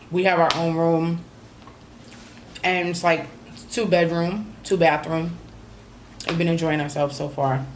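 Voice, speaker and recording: A woman's voice: deep voice, a little hiss or hum, low-quality recording. A woman, chatting casually through a vacation vlog recap with lots of ums and you-knows. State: slightly hoarse. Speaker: vlogger.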